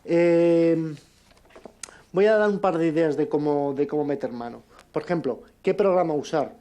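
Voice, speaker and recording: A man with an accent from Spain giving an informal conference talk in a halting, conversational way. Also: on the high side, mellow. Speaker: conference speaker, amateur audio drama producer.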